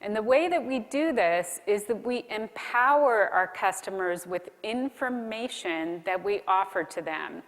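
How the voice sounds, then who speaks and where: Woman, keynote speaker, medium pitch, deliberate explanatory delivery, emphatic pauses, long hall reverb. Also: lively intonation. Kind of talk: conference presentation on marketing to a live audience. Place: large conference room.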